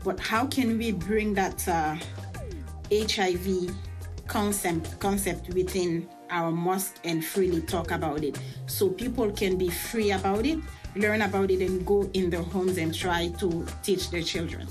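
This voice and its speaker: A woman's voice: on the low side, steady tone, measured pace, soft background music. A woman community worker speaking hesitantly and searching for words in an interview.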